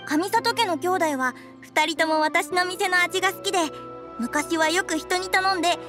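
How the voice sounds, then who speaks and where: A woman's voice: very high-pitched, normal speed. A woman playing a friendly restaurant owner in an anime game, speaking in a familiar, confiding way. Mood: chatty, confiding. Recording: music playing behind the voice.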